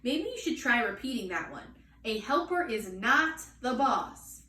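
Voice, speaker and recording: A woman voicing a firm, serious mother in a storybook, admonishing a young child in a measured way. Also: fairly high pitch, sing-song, sharp tone, heavily acted. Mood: stern, instructing. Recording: roomy, distant mic, faint noise.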